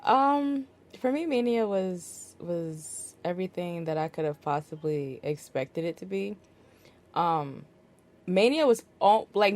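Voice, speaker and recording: A woman's voice: fairly low pitch, a little mellow. A woman hosting a wrestling podcast, chatting casually with many fillers and easy laughter. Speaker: podcast host. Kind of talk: casual chat.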